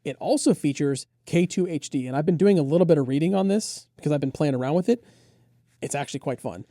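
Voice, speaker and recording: A man's voice: a little high, quick pace, dark tone, no room sound, studio quality. A man co-hosting a gear review, enthusiastically and conversationally running through detailed product specs. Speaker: audio gear reviewer and co-host.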